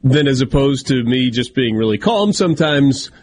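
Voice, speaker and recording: A man's voice: medium pitch, animated, moderate pace, a little mellow, a little hiss or hum. A man hosting a sports radio show, chatty and self-deprecating, with a big voice. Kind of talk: casual sports radio talk.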